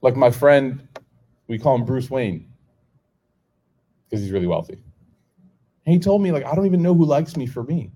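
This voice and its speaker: Man, American accent, middle-aged preacher, warm mellow tone, lively storytelling, acts out dialogue. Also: medium pitch, moderate pace, pauses often, slightly rough. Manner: animated storytelling, acts out dialogue, laughs often. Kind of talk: informal sermon to a live audience.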